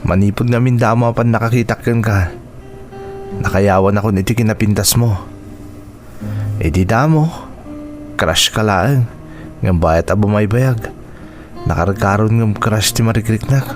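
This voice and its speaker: A man's voice: on the low side, unhurried, pauses often, soft background music, lightly acted. Man acting a friendly young Ilocano suitor. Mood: earnest, lovestruck. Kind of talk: radio drama acting.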